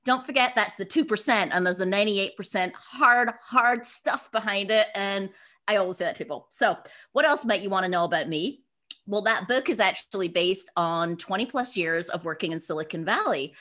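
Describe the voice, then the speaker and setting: A woman's voice: medium pitch, normal speed, sharp tone. A woman from Scotland speaking as a guest author in an interview, telling personal stories in an upbeat, effusive, emphatic way. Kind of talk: interview answers, personal storytelling.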